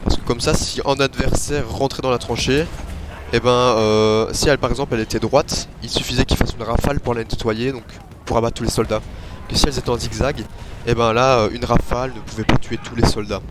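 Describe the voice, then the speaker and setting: A man's voice: medium pitch, very noisy. A young man plainly explaining trench life, hesitating often with fillers. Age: teens or young adult. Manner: plain, hesitant, many "euh". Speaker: student presenter. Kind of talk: explanatory history presentation.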